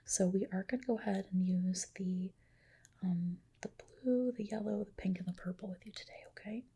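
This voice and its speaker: Female ASMR performer, breathy whisper with a mellow tone, reassuring clinic-roleplay delivery. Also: medium pitch, normal speed. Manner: soothing, reassuring clinician addressing a patient.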